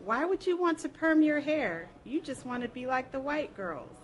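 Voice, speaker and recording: A woman's voice: high-pitched, sing-song, normal speed. A woman voicing a disapproving mother in a live literary reading, scolding and exclamatory.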